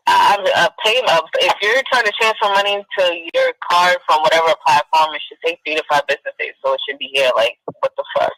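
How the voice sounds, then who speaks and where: A woman's voice: on the low side, pushed and bright, no echo, rough recording. Exasperated woman on a thin call recording. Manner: exasperated, repeats herself for emphasis. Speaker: caller telling her personal story. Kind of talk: casual conversational storytelling.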